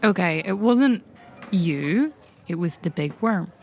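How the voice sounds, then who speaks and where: A woman's voice: lowish voice, lively intonation, slow pace, creaky, full character voice. A woman playing a frank, wry agent in improvised tabletop roleplay, dry and matter-of-fact. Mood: gently consoling.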